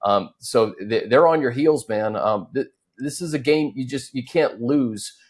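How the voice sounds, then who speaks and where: A man hosting a conversational football show, talking in halting, choppy phrases. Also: medium pitch. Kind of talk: conversational sports talk.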